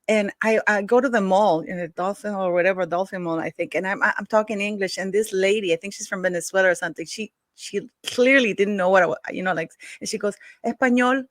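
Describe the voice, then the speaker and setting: A woman's voice: medium pitch, fast, few pauses, no echo, studio quality. A woman from Norway, hosting an interview in an eager, gushing, chatty way with many restarts. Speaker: host, interviewer.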